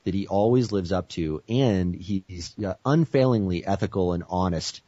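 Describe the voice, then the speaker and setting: Deep, husky American guest on a call; eager sales-pitch delivery, dry muffled line. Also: male voice, normal speed, dark tone, no echo. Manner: enthusiastic pitchy speaker, frequent you-knows. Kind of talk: teleconference interview.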